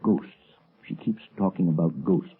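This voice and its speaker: A shifty, nervous professor in a vintage radio mystery. His low voice is very rough and husky, swinging through evasive hesitations and brusque bluster, on a muffled old recording. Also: normal speed. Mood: uneasy. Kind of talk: 1930s-40s radio mystery acting.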